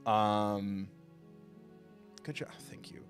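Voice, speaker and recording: A man hosting a video game livestream, chatting casually and haltingly with viewers in a self-deprecating, joking way. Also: on the low side. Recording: music behind the voice. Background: music.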